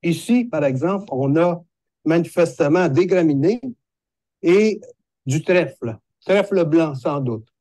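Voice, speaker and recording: A man in his sixties with a Québécois accent, a horticulturist explaining green-space care in a measured, hesitant way with many fillers. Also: fairly high pitch, animated, dark tone, dry recording. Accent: Québécois French. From Quebec. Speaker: horticulturist presenting a video. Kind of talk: explanatory video talk.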